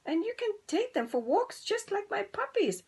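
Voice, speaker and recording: A friendly, busy storybook mother dog, performed by a woman, raised in pitch and lively. The delivery is bouncy and emphatic. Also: moderate pace, vocal fry, heavily acted. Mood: delighted. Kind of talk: children's storybook character voice.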